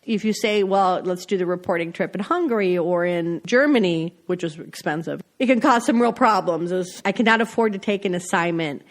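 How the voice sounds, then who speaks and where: A woman's voice: fairly low pitch, brisk, hardly any pauses. Chatty woman, laughs as she talks. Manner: chatty, laughs often while talking. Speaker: foreign correspondent, guest. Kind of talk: casual interview chat.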